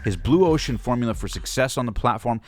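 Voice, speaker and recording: A man's voice: mid-range voice, lively intonation, fast. A man hosting an interview show, hyping up his guest in the intro and then chatting casually, laughing along. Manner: upbeat, laughs often, hype-style intro. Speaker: podcast host.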